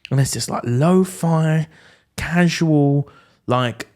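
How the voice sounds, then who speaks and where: A man's voice: a little high, takes it slowly, a little mellow. A man co-hosting a podcast in a casual, joking conversation about music. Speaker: podcast co-host. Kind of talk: casual comedic music chat.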